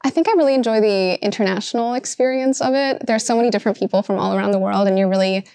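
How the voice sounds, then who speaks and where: A woman's voice: mid-range voice, racing, darkish tone, smooth. A young woman, a fashion student, answering interview questions in a chatty way with many fillers. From Seattle, Washington. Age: young adult. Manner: chatty, lots of um and you know. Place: bright classroom with large windows.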